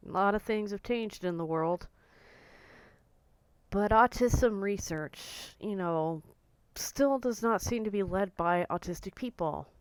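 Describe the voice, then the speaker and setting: A woman in her forties talking casually to camera in a blunt, outspoken way, her voice a little croaky and hoarse. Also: medium pitch, slow, dry recording. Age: 40s. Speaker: vlogger.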